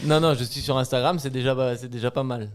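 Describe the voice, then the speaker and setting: A man's voice: medium pitch, fairly even intonation, fast talker, a little hiss or hum. A man in his thirties giving casual running commentary as a podcast guest, explaining things informally and building on his phrases as he goes. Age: 30s. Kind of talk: casual chat, analytical commentary.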